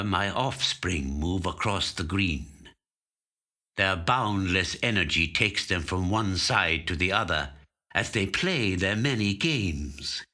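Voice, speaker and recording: An actor voicing an aging, watchful, covetous magpie in measured, deliberate first-person literary storytelling. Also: male voice, low voice, pushed and bright, exaggerated voice. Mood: weary, resigned. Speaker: actor reading a short story. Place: recording studio.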